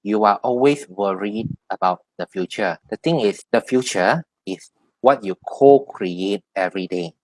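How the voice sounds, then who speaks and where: A deep-voiced man speaks as a tarot reader, offering guidance in a one-on-one session. He explains haltingly, circling back over his phrases, and the recording is dry and close. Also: no room sound, low-quality recording. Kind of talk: spiritual advice in a one-on-one reading.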